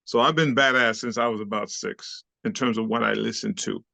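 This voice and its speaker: A man talks in a casual interview. His is Haitian Creole, and he tells animated, slangy stories with frequent laughs. His voice has a gravelly fry, and the sound is dry and close. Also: lowish voice, fairly quick, dry recording. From Haiti, raised in Brooklyn, New York. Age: 60s. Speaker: interview guest, club dancer.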